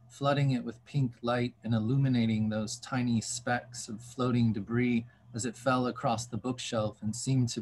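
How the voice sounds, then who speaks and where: A man's voice: mid-range voice, slight background noise, rough recording. A man giving a scripted reading of poetic literary prose. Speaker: author reading aloud.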